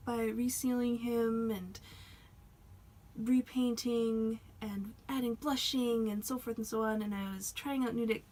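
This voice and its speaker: A slightly high-voiced woman talking casually to her camera. She rambles, laughs nervously, and her voice sounds a little worn, as she is losing it. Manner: rambling, self-conscious, soft-spoken with laughs. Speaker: doll hobby vlogger. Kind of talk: casual vlog talk.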